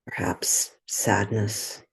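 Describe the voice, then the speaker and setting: A woman guides a meditation at a very unhurried pace. Her voice is soft and husky, with a breathy edge. She speaks gently and soothingly in short phrases, leaving room between them. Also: rumbling low, fairly even intonation, poor audio.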